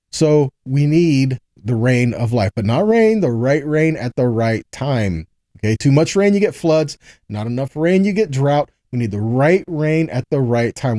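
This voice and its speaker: Mellow-voiced man teaching scripture. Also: mid-range voice, no room sound, studio quality. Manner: didactic, punctuated with short check-in questions. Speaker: Bible teacher. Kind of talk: scripture teaching sermon, reading verses and explaining them.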